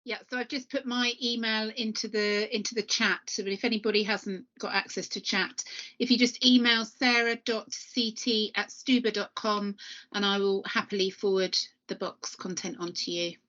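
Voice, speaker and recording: A woman moderates a video call, polite and helpful as she relays questions. Her voice has a bright, forceful edge. The audio is dull and lo-fi, as if from a laptop mic. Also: medium pitch, steady tone, normal speed. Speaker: webinar moderator. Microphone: computer mic on a video call.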